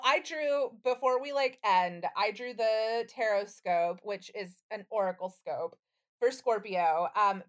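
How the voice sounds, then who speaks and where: A woman co-hosting a podcast with a playful, theatrical way of talking, whether chatting or reciting. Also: mid-range voice. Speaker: podcast co-host. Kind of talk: casual chat, dramatic poem reading.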